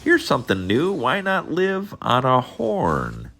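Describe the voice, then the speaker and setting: A man voicing a bold, pushy little male songbird that squeaks and exclaims. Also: huge pitch swings, slow pace, fairly bright tone, heavily acted. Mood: exclaiming.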